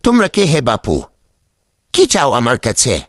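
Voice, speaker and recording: A man's voice: medium pitch, wildly swooping pitch, a little slow, husky, dry recording, clean studio sound, heavily acted. A man voicing a humble, weak, destitute man in a children's cartoon, speaking plaintively. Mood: weary, plaintive. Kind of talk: children's moral cartoon dubbing.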